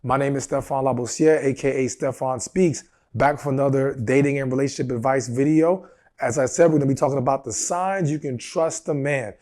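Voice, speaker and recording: A man in his thirties giving dating advice straight to his viewers, his voice a little rough from a cold, earnest and emphatic. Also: mid-range voice, fairly quick, darkish tone. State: recovering from a cold. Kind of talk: direct-to-camera advice talk.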